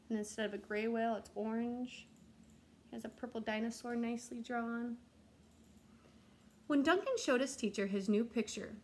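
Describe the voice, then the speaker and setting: A woman's voice: on the high side, creaky, a little mellow, a little hiss or hum. A woman in her thirties reading a children's picture book aloud as a teacher. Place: living room at home.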